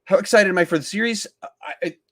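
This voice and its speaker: A man hosting a podcast in casual discussion, acting out his reactions in animated asides. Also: sits high, quick pace. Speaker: podcast host.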